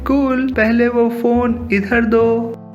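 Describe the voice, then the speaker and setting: A man voicing a cheeky, high-pitched little boy. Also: level tone, extremely slow, lo-fi sound, faint music behind, heavily acted. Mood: scheming, bossy. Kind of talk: cartoon comedy story dubbing.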